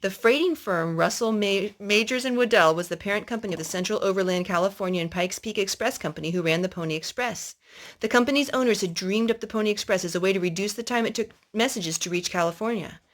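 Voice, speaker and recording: A woman's voice: medium pitch, fast talker. A woman storyteller reading a historical children's story aloud to a young listener.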